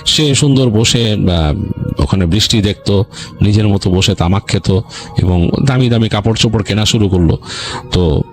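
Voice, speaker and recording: A man's voice: lowish voice, normal speed. A man with a Bangladeshi accent narrates a story informally, in a colloquial, rambling way with explanatory asides. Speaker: ghost story narrator on a radio-style show.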